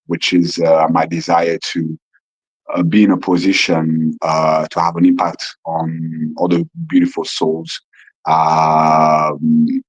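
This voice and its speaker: Husky low-voiced man from Rwanda. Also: no echo. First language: Kinyarwanda. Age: 40s. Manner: earnest, reflective, repeats words as he gathers thoughts. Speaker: podcast guest. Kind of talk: casual remote interview. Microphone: phone. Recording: lo-fi phone recording.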